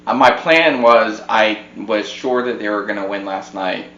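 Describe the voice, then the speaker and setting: A man with a fairly deep voice chats casually as a podcast co-host. The recording is roomy and somewhat dull, with a faint hum behind him. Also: gravelly, fairly bright tone. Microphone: podcast microphone on a tripod. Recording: muffled roomy podcast mic, background hum.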